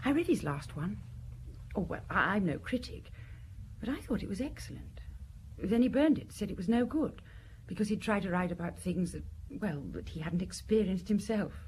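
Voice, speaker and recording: An actress playing a candid, composed secretary under questioning in an audio drama, hesitant and confiding, sometimes dropping to a breathy near-whisper. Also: female voice, medium pitch, expressive, moderate pace, a little mellow, a little hiss or hum, lightly acted, poor audio. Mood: wistful, candid.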